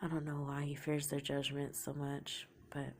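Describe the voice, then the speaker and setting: A woman's voice: sits low, monotone, moderate pace, a little mellow, slight background noise. A young woman with a sore throat from a cold, telling her own story in a casual, confessional way and often trailing off into a whisper. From Oklahoma, United States.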